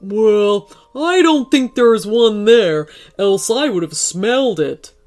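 A woman voicing a big, grumbly male yeti in a children's story, speaking slowly and drawing out the words. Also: mid-range voice, animated, darkish tone. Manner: slow, drawn-out delivery.

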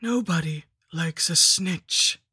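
A woman voicing a cruel undead female lich sorceress, rasping and mocking, sliding into breathy whispers. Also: on the low side, slow pace, bright, forceful tone, no echo, studio quality, heavily acted. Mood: menacing. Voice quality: rasping, cackling.

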